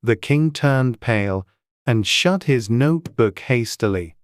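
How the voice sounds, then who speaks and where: An audiobook narrator telling a dramatized storybook tale. The voice sits at a middling pitch, and the recording is close and dry, free of echo. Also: lively intonation, a little slow.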